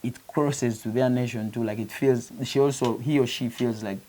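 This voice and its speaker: A man's voice: mid-range voice, fairly even intonation, moderate pace, warm tone, rough recording. A man from Uganda, a musician being interviewed, talking earnestly in a casual chat and often saying "you know" as he restarts phrases. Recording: faint background hiss.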